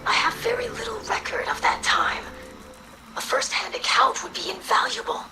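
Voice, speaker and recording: A woman's voice: very high-pitched, wildly swooping pitch, moderate pace, full character voice, low-quality recording. A woman playing an earnest, curious young alien scholar in a video game cutscene, speaking formally, politely and eagerly. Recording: clear music behind the voice.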